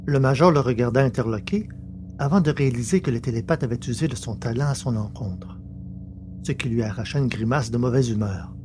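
A man narrates a science fiction tale in a scripted, literary style. His pitch sits in the middle range for a male voice. The recording carries noticeable noise and hum behind him. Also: a little fast. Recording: clearly noisy background with hum.

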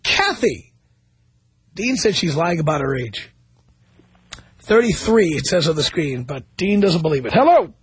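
A man's voice: fairly high pitch, sing-song, a little slow, long pauses, husky, dry recording. A man hosting a call-in talk radio show, teasing and blunt with his callers in a chatty studio manner. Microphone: studio microphone.

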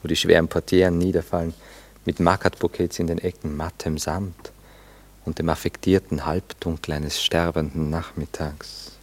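A courteous gentleman in an intimate radio drama. His voice sits low. He is reserved and reflective, answering politely but evasively. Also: flat delivery, normal speed. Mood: reflective, dreamy. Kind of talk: intimate radio play dialogue.

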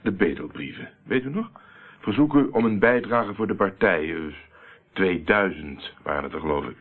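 Diepe, doffe stem van harde speurder. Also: male voice, sits low, lively intonation, normal speed, breathy edge. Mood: pressing, condescending. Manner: interrogating, condescending. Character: shrewd, hard-nosed male private detective, coolly pressing. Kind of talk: radio play acting. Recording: muffled narrowband old radio play recording.

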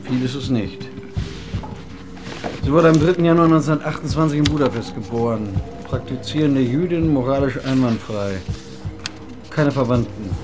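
An actor playing a bookish, matter-of-fact archivist in a German radio drama, speaking in terse phrases. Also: male voice, medium pitch, moderate pace, pauses often, close to an everyday voice. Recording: music behind the voice.

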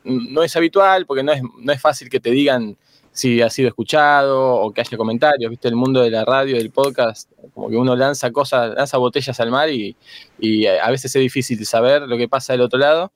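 Argentine male interviewee, mid-range voice, reflective and halting spontaneous answers, dry close recording. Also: medium pitch, dry recording. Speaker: interview guest, documentary maker.

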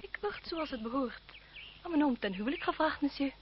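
High, lilting, coquettish young noblewoman. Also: lively intonation, brisk. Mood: proud, defiant. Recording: dull, noisy old radio-play recording.

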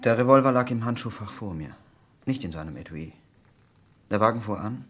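An actor playing a tormented doctor in a radio crime drama, speaking gravely and dramatically in clipped sentences. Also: male voice, on the low side, lively intonation, brisk. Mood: grim, confessing. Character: tormented male doctor insisting on his guilt.

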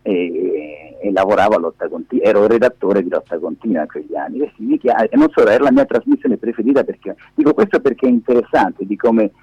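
A husky, lowish-voiced man speaks over a muffled telephone line as a radio guest. He tells things conversationally and emphatically, with very lively intonation, repeating key words. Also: quick pace, hardly any pauses, no room sound. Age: 50s. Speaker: radio interview guest, performing media teacher. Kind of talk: animated conversational storytelling. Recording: dull, muffled phone call.

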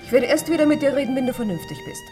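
A woman's voice: high-pitched, huge pitch swings, very fast. An actress playing an exasperated woman scolding her male companion in a radio play. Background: music.

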